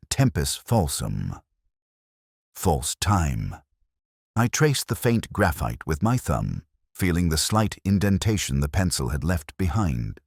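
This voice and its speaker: Brooding detective narrator played by a man for mystery audio drama: very low voice, solemn and measured, expressive range, dry studio sound. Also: no room sound, exaggerated voice. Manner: measured, solemn, literary phrasing. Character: brooding, contemplative male police detective recounting a murder case.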